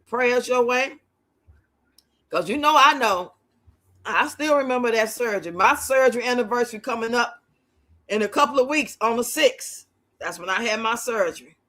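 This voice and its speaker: A woman's voice: on the high side, moderate pace, bright, forceful tone. A woman of fifty-nine hosting a casual livestream, chatting with viewers in a blunt, outspoken, colloquial way. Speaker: livestream host.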